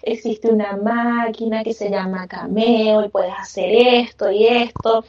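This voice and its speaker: A woman's voice: on the high side, fairly even intonation, a little slow, a little mellow. A Venezuelan woman answering interview questions conversationally, with an effusive, emphatic way of talking. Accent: Venezuelan Spanish. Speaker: podcast guest, craft business owner. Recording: echoey, distant, muffled microphone.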